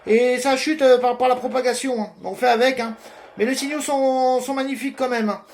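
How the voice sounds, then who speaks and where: Male CB operator, strikingly high voice, casual radio chat, faint noise behind. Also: fairly even intonation, a little fast, darkish tone.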